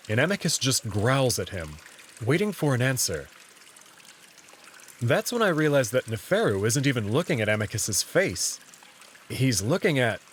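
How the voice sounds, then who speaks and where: A man's voice: mid-range voice, fairly bright tone, slight background noise. A man reading first-person story narration in the role of narrator.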